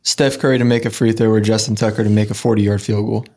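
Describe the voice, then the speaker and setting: A man's voice: lowish voice, steady tone, quick pace, darkish tone. A man co-hosting a sports podcast, making dry, matter-of-fact remarks. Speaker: podcast co-host. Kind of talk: casual sports banter.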